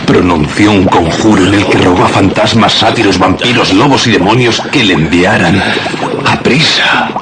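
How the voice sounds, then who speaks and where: A man's voice: on the low side, moderate pace, lightly acted. A man with a Castilian accent playing a fearful shepherd who dramatically recounts horrors in a radio suspense play. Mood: ominous. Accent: Castilian Spanish. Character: shepherd fearfully recounting a werewolf transformation, horrified. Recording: heavy noise behind radio drama.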